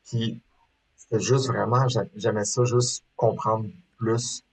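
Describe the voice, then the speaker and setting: A man's voice: fairly low pitch, little pitch movement, slow pace, breathy edge, poor audio. A man in his thirties from Quebec with a Québécois accent, talking casually as an interview guest, hesitant with many fillers and restarts. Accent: Québécois French. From Quebec, Canada. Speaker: guest, sport shooter.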